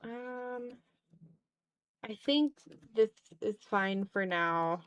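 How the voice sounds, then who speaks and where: A woman's voice: on the high side, extremely slow, fairly bright tone, clean tone. A woman hosting a casual art livestream, thinking aloud in a self-deprecating way as she draws. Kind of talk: casual chat while drawing on a livestream.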